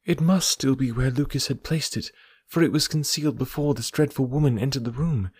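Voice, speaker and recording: A man reading an audiobook, voicing an anxious, noble young woman making a confession in a measured, softened way. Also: medium pitch, husky, dry recording, clean studio sound, voice pushed up, close to an everyday voice. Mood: distressed. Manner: earnest, measured, softened delivery.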